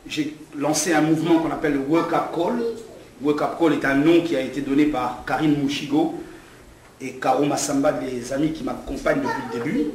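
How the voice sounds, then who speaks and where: A man from Congo addresses a press conference with rousing, preacher-like fervor. His voice sits a little high. He is heard from a distance in a strongly reverberant hall with faint background noise. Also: fairly even intonation, brisk, a little mellow. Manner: rousing, rising to fervent preaching pitch when speaking to the crowd. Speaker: political candidate at a press conference. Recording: echoey hall from far off, some noise.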